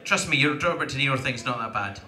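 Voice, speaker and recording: A man's voice: mid-range voice, animated, fast talker, pushed and bright. A man with a Scottish accent, a panel host chatting to an audience in a wry, digressive, emphatic way. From Scotland. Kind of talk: casual chat and anecdotes to an audience. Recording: echoey convention hall PA.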